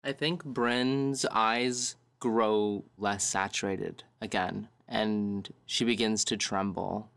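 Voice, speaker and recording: A man playing a tabletop role-playing game, describing his character's actions in a measured, thoughtful way. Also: lowish voice, fairly bright tone, clear, resonant tone. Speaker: tabletop role-play player.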